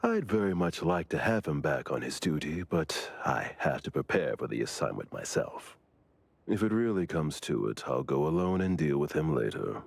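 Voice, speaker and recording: A man's voice: rumbling low, very animated, moderate pace, creaky, breathy edge, dry recording, heavily acted. An actor voicing a stern, dutiful male lieutenant in an anime game, speaking in a dry, formal, weary way. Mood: dry, exasperated.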